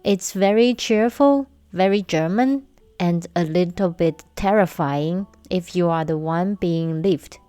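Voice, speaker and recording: A young woman from Taiwan, hosting a casual solo podcast and telling stories slowly with light humor. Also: fairly low pitch, mellow, clean tone, no echo. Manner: slow, measured storytelling with light humor. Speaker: podcast host.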